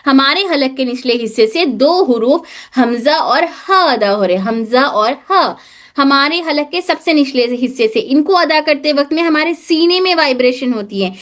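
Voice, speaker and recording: A woman with a high-pitched voice teaches a live religious recitation lesson. She explains step by step, asking questions and repeating the answers to drill them in. English words are mixed into her explanations. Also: animated, normal speed, fairly bright tone. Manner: repetitive, drilling key points with questions; mixes English words into Hindi speech.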